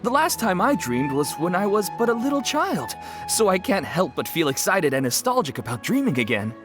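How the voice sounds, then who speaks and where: Courteous, animated fantasy event organizer. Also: female voice, lowish voice, fairly quick. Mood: polite, nostalgic. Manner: courteous, formal, welcoming. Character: polite, enthusiastic adult event organizer in a fantasy land. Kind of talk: anime game character acting. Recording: music behind the voice.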